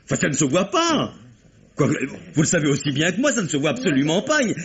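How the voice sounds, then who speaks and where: A man's voice: fairly high pitch, very animated, fast, fairly bright tone. An actor playing a flustered stage actor under suspicion, pleading his innocence with nervous laughs in an old radio play. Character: frantic actor suspected of murder, pleading. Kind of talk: 1950s radio drama acting. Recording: dull old radio broadcast.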